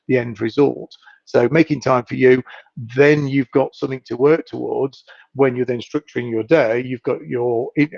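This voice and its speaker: A man with a gravelly, husky voice who is losing it a little and keeps clearing his throat. He talks as a guest in a casual video-call chat, thoughtful, circling back and repeating words as he works out his point. The sound is dry and dull, like web-call audio. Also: medium pitch, darkish tone, no room sound. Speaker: hypnotist guest. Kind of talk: casual live-stream chat.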